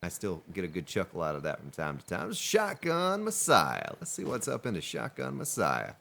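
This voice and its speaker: A man with a low voice that swings up and down a lot as he chats through a game session, joking along with what happens on screen. Behind him there is a faint hiss of noise. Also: huge pitch swings, normal speed, gravelly, pushed and bright. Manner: chatty, jokes at game characters. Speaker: let's play host. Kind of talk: casual gameplay commentary.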